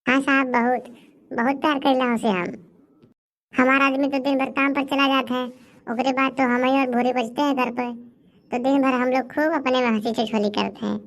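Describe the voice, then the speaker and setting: Very high-pitched melodramatic Bhojpuri village housewife. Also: female voice, steady tone. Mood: earnest, fond. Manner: melodramatic, wailing, drawn-out lamenting. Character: dramatic, doting village housewife grieving her lost buffalo. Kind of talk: comedy cartoon voice acting.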